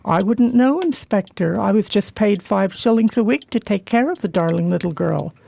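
A woman playing a humble village childminder, telling things in a folksy, deliberate way in a radio play. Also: mid-range voice, lively intonation, vocal fry, mellow. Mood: earnest, recounting.

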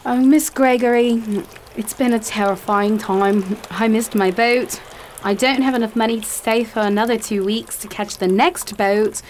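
A woman's voice: a little high, steady tone, normal speed, darkish tone, a little hiss or hum, close to an everyday voice. A woman playing a timid, distressed young lady in radio theatre, speaking earnestly and politely. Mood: anxious, distressed.

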